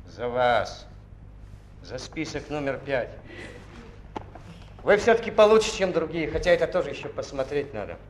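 A cocky, flippant anarchist sailor. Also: male voice, on the high side, very animated, normal speed, long pauses. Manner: flippant, folksy, full of sayings. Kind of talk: 1960s film acting. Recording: roomy old film soundtrack with faint noise.

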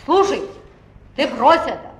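An actress playing a stern woman commissar in a 1960s film, calm, probing and commanding. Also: high voice, measured pace, gravelly, heavily acted. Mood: firm, probing. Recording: roomy old film soundtrack with music.

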